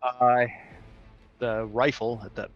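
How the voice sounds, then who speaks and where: Slow-talking man, slightly dull sound. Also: mid-range voice, flat delivery, faint music behind. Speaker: player. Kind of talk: casual tabletop game talk.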